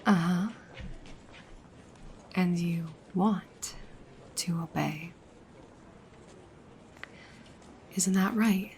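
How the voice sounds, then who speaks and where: A woman playing a dominant, teasing goddess girlfriend in an ASMR roleplay, soft, breathy and often whispering, coaxing and commanding. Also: fairly low pitch, steady tone, extremely slow, a little hiss or hum. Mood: hypnotic, commanding.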